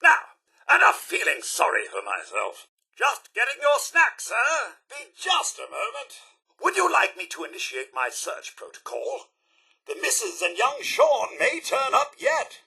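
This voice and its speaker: A man's voice: very high-pitched, gravelly, pushed and bright, slightly rough, exaggerated voice. A man voicing a fussy, devoted robot butler in a video game, with a British accent and a formal, theatrical, effusively polite delivery. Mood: cheerful, fussy. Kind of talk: video game character voice acting.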